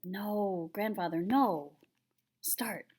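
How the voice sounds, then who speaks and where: A woman's voice: mid-range voice, extremely slow, slightly rough. A woman voicing an eager, insistent young boy who pleads for stories in a children's picture book. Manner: pleading, excited questioning.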